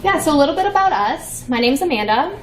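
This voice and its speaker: A woman's voice: high voice, very animated, fast, slight background noise. A young woman giving a conference talk, playful and chatty with many fillers. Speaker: conference presenter. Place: conference room. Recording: echoey room from far off.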